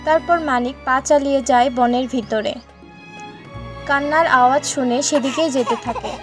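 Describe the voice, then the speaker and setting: High-pitched woman storyteller over music. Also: normal speed. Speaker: story narrator. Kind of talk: scripted cartoon storytelling narration.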